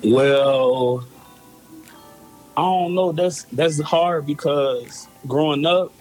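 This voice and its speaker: A man's voice: fairly high pitch, very slow, a little mellow, soft background music, poor audio. A young man from Chicago with a Chicago accent, a rapper guest talking in a laid-back, hesitant way full of casual slang.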